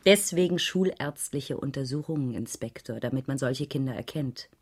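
An actress playing a world-weary, defensive woman schoolteacher in a radio crime drama, speaking in a dry, measured, sardonic way. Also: very deep voice, lively intonation, slightly rough, heavily acted. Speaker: actor in a radio crime play. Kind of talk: 1980s radio drama dialogue.

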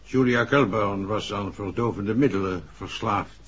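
A man's voice: lowish voice, a little slow, slightly rough. An actor playing a discreet, candid male senior police chief in a 1960s Dutch radio drama. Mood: frank, confidential. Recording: dull, muffled 1960s radio recording.

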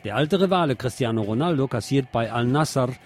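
A man's voice: medium pitch, lively intonation, a little fast, darkish tone, slight background noise. A man presenting news and commentary on radio, reading with wry, sardonic asides.

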